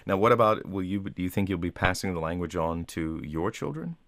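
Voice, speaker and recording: A man interviewing a guest in a relaxed, curious way, with short acknowledgements between questions. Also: sits low, a little fast, no echo.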